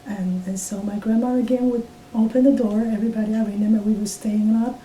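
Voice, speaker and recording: A woman in her fifties from Taiwan with a Taiwanese accent, speaking English as a second language and recalling her past in an oral history interview, halting with many fillers and restarts. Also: fairly even intonation, mellow. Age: 50s. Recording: roomy, distant mic with faint rumble.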